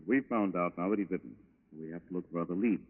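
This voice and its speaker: An actor playing a terse, businesslike federal agent in a 1940s radio crime drama. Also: male voice, low voice, fast talker. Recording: tinny, band-limited 1940s radio recording.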